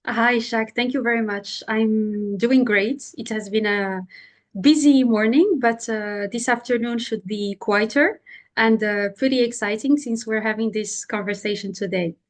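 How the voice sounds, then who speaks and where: A woman's voice: fairly high pitch, a little slow. A woman with a French accent, a consultant guest in a podcast interview, explaining things in simple words with frequent "uh" fillers.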